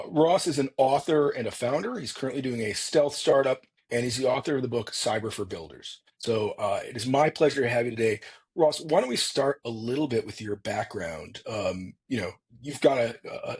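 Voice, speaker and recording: A man's voice: medium pitch, lively intonation, fairly quick, slightly rough. A man hosting a podcast, introducing his guest and asking friendly, informal questions. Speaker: podcast host and investor. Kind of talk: casual podcast interview.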